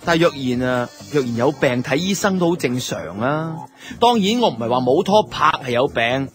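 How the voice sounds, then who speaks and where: Male actor as wry, aggrieved office guy narrating a radio drama, lightish pitch, Hong Kong Cantonese, conversational grumble. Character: wry, aggrieved male advertising office worker telling his story. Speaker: narrator and lead character of a radio drama series. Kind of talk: first-person radio drama narration, colloquial. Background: background music.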